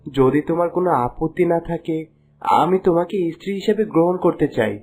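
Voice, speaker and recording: A man's voice: a little high, a little fast, darkish tone, soft background music, lightly acted. A man voicing a courteous, lovestruck young prince in a fairy-tale cartoon, earnest and romantic. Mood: smitten. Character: smitten young prince posing as a merchant, courteous.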